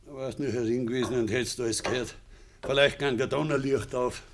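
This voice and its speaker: An actor playing a bitter, stubborn old peasant farmer in a radio play, curt, sarcastic and defiant, in Bavarian dialect. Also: male voice, medium pitch, fairly even intonation, fairly quick, noisy background, close to an everyday voice. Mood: grumbling. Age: older.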